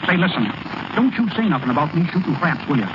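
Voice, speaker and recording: An actor playing a naive, worried baseball pitcher with folksy, ungrammatical speech, in a 1930s radio drama style. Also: male voice, big pitch swings, fast talker. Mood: worried, sheepish. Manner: folksy ungrammatical talk, artless and earnest. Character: naive, worried small-town baseball pitcher, plain and slow-witted. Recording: noisy, muffled vintage radio broadcast recording.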